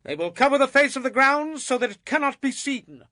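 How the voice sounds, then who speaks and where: A bold, high-voiced, animated prophet. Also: male voice, brisk, no room sound, clean studio sound, full character voice. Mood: defiant warning. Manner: declamatory, emphatic. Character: Moses, bold, defiant Hebrew prophet confronting a king. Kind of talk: dramatized audio Bible acting.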